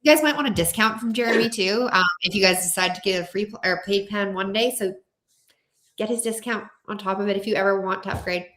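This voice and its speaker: Female webinar co-host, quick and upbeat, roomy echo, faint background noise. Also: mid-range voice, fairly bright tone. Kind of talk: casual webinar chat.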